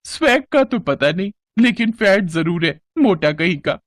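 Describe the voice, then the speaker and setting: A man's voice: high-pitched, expressive, moderate pace, no echo. A man puts on a village girl for a children's cartoon. The big sister teases and bosses in a raised, swooping voice with a dry studio sound. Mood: taunting. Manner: taunting, bossy. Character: teasing, sensible village girl, elder sister.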